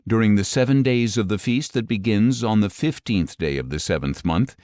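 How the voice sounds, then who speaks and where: A man narrating an audio Bible, reading scripture in a measured, solemn, clearly phrased way. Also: fairly low pitch, expressive, darkish tone, no room sound, studio quality.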